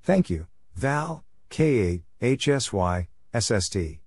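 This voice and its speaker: A man's voice: mid-range voice, very animated, slow, darkish tone, breathy edge, no room sound, clean studio sound. A man reads out a practice drill in short, isolated phrases, spelling out letters and numbers one by one. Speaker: Morse code practice narrator. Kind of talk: spoken drill readout of radio exchanges.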